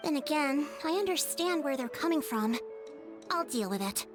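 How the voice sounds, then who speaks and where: Casting: earnest young female chief, very high voice, flustered, anime game, music underneath. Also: expressive, moderate pace. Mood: weary. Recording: music playing behind the voice.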